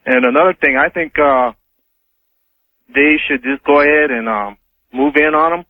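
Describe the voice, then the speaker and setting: A man's voice: on the high side, moderate pace, no room sound. An American man phoning in to a radio show, giving his opinion in casual, everyday speech. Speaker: first-time phone caller to a radio show. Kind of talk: casual opinionated phone call. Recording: tinny narrow phone line, dry.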